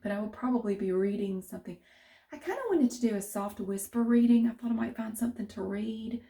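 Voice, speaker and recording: Chatty Southern woman, mellow voice. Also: mid-range voice, brisk, creaky. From central North Carolina. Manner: chatty, rambling, many restarts. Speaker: vlogger. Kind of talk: casual chat to camera.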